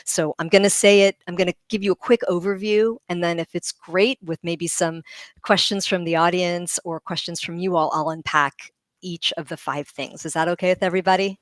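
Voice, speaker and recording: Brief: middle-aged woman guest, lowish voice, lively explainer, dry close mic. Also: brisk, no echo. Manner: animated, laughs often, vivid analogies. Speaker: guest speaker on leadership. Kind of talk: livestream panel talk.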